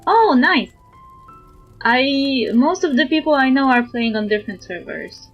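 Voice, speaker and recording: A woman's voice: sits high, lively intonation, a little slow, dull audio. A woman from Europe livestreaming a game, reading dialogue aloud and chatting with her viewers. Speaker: livestreamer, VTuber. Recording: music behind the voice.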